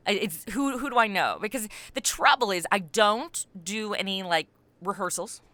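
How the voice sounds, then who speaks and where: A middle-aged woman chatting casually as an interview guest, animated and self-deprecating, sometimes acting out remarks she quotes. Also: medium pitch, normal speed, dry recording. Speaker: podcast creator and voice actor, interview guest.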